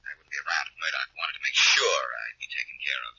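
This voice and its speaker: A man's voice: very deep voice, lively intonation, fast talker, gravelly, sharp tone, husky, highs cut off, full character voice. A man playing a cocky, wry amateur detective with breezy banter, in 1940s radio acting on an old recording. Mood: confiding. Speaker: actor, radio drama lead.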